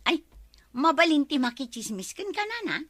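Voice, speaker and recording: A woman acting a nosy, excitable village gossip in an Ilocano radio comedy drama, exclamatory, whiny and melodramatic. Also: high voice, moderate pace. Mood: wheedling chatter.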